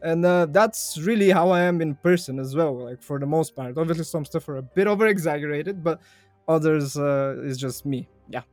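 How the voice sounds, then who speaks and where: Young man, English, easygoing podcast guest, highish voice, dry close sound. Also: normal speed, a little mellow, no echo, faint music behind. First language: Bulgarian. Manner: chatty, laughs easily, repeats phrases. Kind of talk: casual podcast interview.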